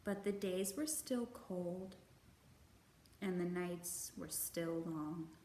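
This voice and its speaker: A woman hosting a children's storytime, reading and speaking to young listeners with slow, deliberate, expressive phrasing. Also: lowish voice. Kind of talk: picture book read-aloud for young children. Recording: roomy echo, faint background noise.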